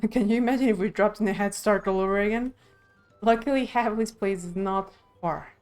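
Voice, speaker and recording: A woman's voice: mid-range voice, a little fast, a little mellow, faint music behind. A woman reading video game dialogue aloud expressively on a live stream.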